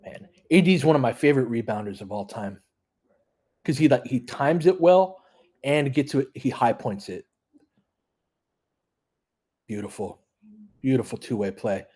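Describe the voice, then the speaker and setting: A man with a mid-pitched, somewhat husky voice hosts a live basketball stream. He talks casually and analytically, moving between calling the action and chatting informally with his listeners. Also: expressive, normal speed, pauses often, dark tone. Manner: conversational, analytical, informal with mild swearing. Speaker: host of a fan audio play-by-play stream.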